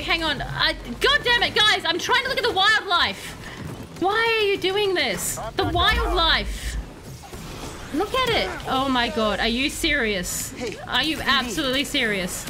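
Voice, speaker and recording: A woman streaming a game live, chatty and excitable, answering her chat, her voice slightly hoarse. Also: high voice, background noise. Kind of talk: live gameplay commentary.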